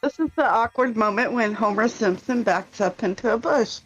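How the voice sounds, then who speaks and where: A woman's voice: a little high, normal speed, soft background music. A woman co-hosting a podcast, joking and enthusiastic as she puts questions to her guest. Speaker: podcast co-host. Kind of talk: casual chat, interviewing guest. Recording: muffled lo-fi stream audio.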